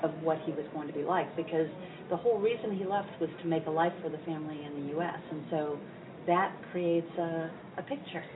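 A woman's voice: sits low, fairly quick, few pauses. A woman hosting an interview, asking questions and encouraging her guest with frequent brief responses. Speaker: interview host. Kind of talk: casual interview talk. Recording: distant, tinny phone line with hiss.

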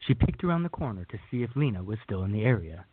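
A man's voice: on the low side, very animated, fast, slightly rough, no echo. A man narrating a scripted radio drama as a storyteller. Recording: tinny, phone-like narrow band.

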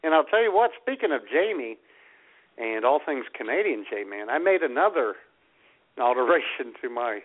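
Male radio co-host, folksy casual banter, mid-pitched voice, tinny telephone-quality sound. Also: very animated, moderate pace. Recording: thin phone-like internet radio audio.

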